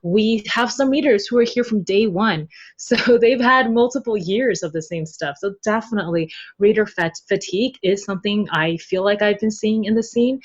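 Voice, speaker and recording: Expressive woman chatting, slightly dull audio. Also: medium pitch, moderate pace, hardly any pauses, breathy edge. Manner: candid, expressive, mimics readers' reactions. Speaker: podcast guest, novel translator. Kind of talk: casual interview talk.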